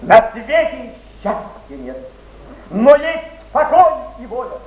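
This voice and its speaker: A fervent poet recites verse slowly in a strikingly high voice. He is solemn and world-weary, heard in an echoey old theatre recording. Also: wildly swooping pitch, phone-quality sound, very noisy, full character voice.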